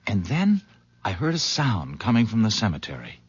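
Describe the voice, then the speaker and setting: An actor playing an eager horse owner who stammers and then gushes, in a 1970s radio drama. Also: male voice, on the low side, normal speed, husky, exaggerated voice. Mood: earnest storytelling. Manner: hesitant, stammering, then excitedly effusive. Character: eager, fussy middle-aged horse owner. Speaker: visitor.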